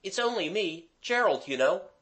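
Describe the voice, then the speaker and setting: A narrator voicing a gentlemanly, light-hearted boy with a honeyed, reassuring manner in a storybook. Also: mid-range voice, sing-song, unhurried. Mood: honeyed, innocent. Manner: honeyed, explaining.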